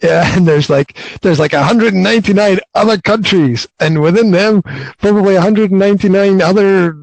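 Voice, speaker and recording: A man's voice: high voice, fairly quick, vocal fry, a little mellow, no echo, rough recording. A man coaching over a video call from home, talking casually and often repeating words as he restarts. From Britain. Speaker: career and language coach. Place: home with kids nearby.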